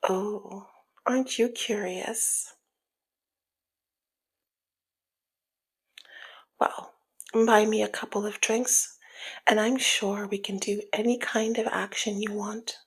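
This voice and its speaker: A woman playing a flirty, teasing, dominant female mob boss in an intimate ASMR roleplay, with a husky, breathy, half-whispered voice and a sultry, taunting manner. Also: medium pitch, fairly even intonation. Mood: suggestive, teasing. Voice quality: breathy, husky, often slipping into near-whisper. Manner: slow, sultry, playful taunting. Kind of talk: ASMR roleplay, intimate one-sided dialogue.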